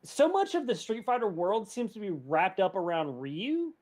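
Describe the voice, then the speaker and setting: A man's voice: sits high, big pitch swings, normal speed, a little mellow. A man co-hosting a podcast, giving blunt opinions in casual chat with emphatic exaggeration. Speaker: podcast co-host.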